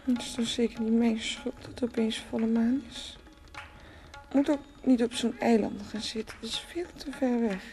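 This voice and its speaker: A woman's voice: a little high, fairly even intonation, moderate pace, soft background music, lightly acted. An actress playing a dreamy, teasing woman who rambles in musing repetitions in a Dutch radio play. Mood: reproachful. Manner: musing, repetitive, breaks into soft laughs.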